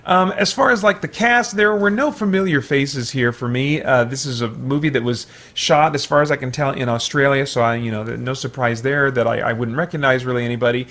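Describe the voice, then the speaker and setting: Man, mid-pitched voice, film reviewer, casual and unscripted, hesitant, rambling, restarts sentences often. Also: a little fast, hardly any pauses, a bit bright. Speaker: movie reviewer, video host.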